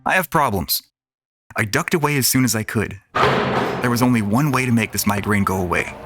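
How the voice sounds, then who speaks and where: Guy narrating a scifi story, brooding hardboiled, mid pitch, loud music underneath. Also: normal speed. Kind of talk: first-person scripted sci-fi narration.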